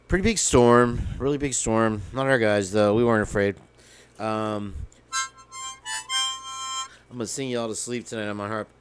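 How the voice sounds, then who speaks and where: A man's voice: fairly low pitch, normal speed, long pauses. A man hosting a casual livestream, rambling and joking between bits of guitar playing, his voice crackly today. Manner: rambling, joking, sings off-key. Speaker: livestream host. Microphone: headphones with mic.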